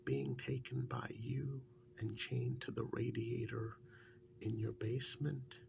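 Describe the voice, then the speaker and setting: A man softly playing an embittered yet devoted former captive in an ASMR role-play, half-whispering in a rambling, confiding way. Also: little pitch movement, takes it slowly. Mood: fond, wry. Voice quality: soft, breathy, often half-whispered. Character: embittered former kidnap victim, now devoted male partner. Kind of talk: soft-spoken ASMR role-play monologue. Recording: faint low rumbling background noise.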